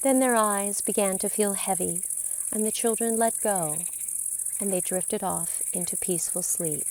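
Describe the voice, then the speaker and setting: A woman narrating a scripted story in a deliberate, theatrical way, with dramatic pauses and emphasis. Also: medium pitch, darkish tone. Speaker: podcast storyteller.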